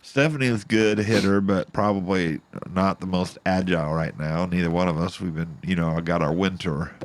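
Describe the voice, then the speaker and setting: A man co-hosting a podcast in casual chat, stuffed up and a bit nasal from a cold, rambling with halting restarts. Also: low voice, fairly even intonation. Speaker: podcast co-host.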